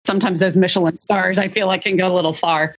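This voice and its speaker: Husky low-pitched woman interviewer. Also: fairly even intonation, fast. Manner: warmly encouraging, asks follow-up questions. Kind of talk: casual interview chat.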